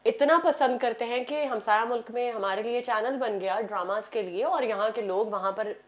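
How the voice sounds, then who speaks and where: A woman with a Pakistani accent and a fairly high voice speaks as a talk show guest. She is opinionated and conversational, slipping between languages with English phrases. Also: moderate pace. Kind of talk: conversational panel discussion, mixing in English phrases.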